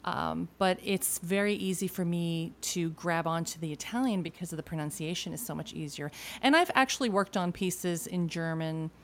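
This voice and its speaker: A woman's voice: on the low side, moderate pace, hardly any pauses. A woman from Argentina who sings, speaking thoughtfully in a casual conversation. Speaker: singer.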